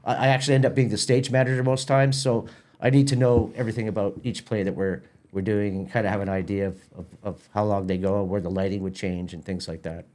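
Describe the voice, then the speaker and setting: A man with a Canadian accent chatting casually as an interview guest, explaining things in a halting, rambling way with repeated words. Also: on the low side, steady tone, a little mellow. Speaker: festival organiser and stage manager, podcast guest.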